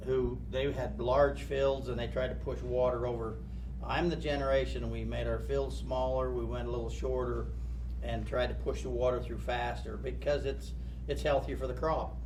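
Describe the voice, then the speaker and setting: Plainspoken farmer man, distant and noisy. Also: mid-range voice. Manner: plainspoken, halting restarts. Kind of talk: panel discussion answer. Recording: distant mic, noisy room.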